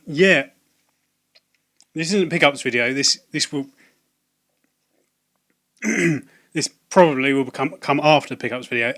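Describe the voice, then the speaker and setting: A slightly hoarse man, talking casually to camera about video game purchases, hesitant with many ums and restarts. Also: medium pitch, pushed and bright. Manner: hesitant, lots of ums and restarts, wry asides. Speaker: video game collecting vlogger. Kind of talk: casual rambling vlog to camera.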